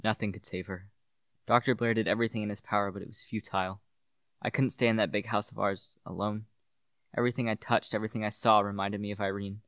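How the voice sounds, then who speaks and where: A man's voice: on the low side, level tone, quick pace, a bit bright, no echo. A young man playing a brooding, grieving widower and devoted husband in a solemn, theatrical radio play. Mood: grieving, haunted. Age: young adult. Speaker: student actor. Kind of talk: radio play acting and monologue.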